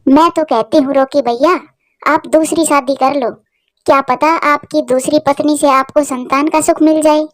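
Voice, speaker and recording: A woman's voice: high-pitched, steady tone, moderate pace, no echo, clean studio sound, close to an everyday voice. A woman voicing a blunt, meddling neighbour bird in a cartoon story, talking insistently. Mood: pushy. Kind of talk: Hindi cartoon story dialogue.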